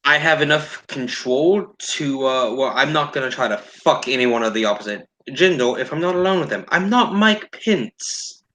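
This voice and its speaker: Male host for casual commentary: blunt, sardonic, sharp bright tone, animated delivery. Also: mid-range voice, normal speed.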